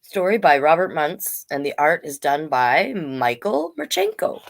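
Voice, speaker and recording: A woman's voice: low voice, big pitch swings, measured pace, vocal fry, slight background noise. A woman hosting a casual livestreamed storytime, reading aloud and chatting with informal, rambling asides. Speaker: drag king storytime host and reader. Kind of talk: casual livestream chat and picture-book reading.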